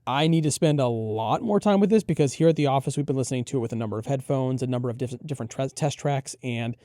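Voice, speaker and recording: A man's voice: mid-range voice, fast, mellow, no room sound, clean studio sound. A man co-hosting an audio gear preview, giving a casual rundown of products.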